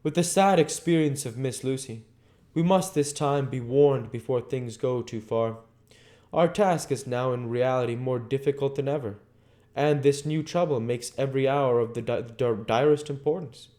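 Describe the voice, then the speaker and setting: A man voicing a grave, sorrowful old foreign professor from a gothic novel, speaking halting, formal broken English. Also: mid-range voice, dark tone, pitched above the performer's own voice, natural voice. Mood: grave, urgent.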